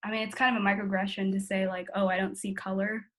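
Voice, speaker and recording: A woman's voice: mid-range voice, flat delivery, quick pace, fairly bright tone. An eighteen-year-old woman with an American accent talking candidly and informally, reflecting on herself with lots of "like". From born in the Philippines, grew up in the United States. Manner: informal youthful talk, heavy use of "like", self-reflective. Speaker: interviewee in a family conversation. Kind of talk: candid casual conversation.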